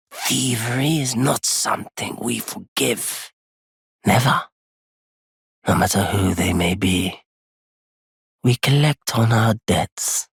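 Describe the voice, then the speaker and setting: Casting: weary game merchant, very raspy and breathy, slow, courteous, formal delivery. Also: male voice, on the low side, no echo, clean studio sound, exaggerated voice. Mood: grave, bitter. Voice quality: husky, breathy, gravelly. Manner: measured, hushed, formal wording. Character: weary, courteous wandering merchant, darkened by anguish. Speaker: merchant character. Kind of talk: dramatic video game voice acting.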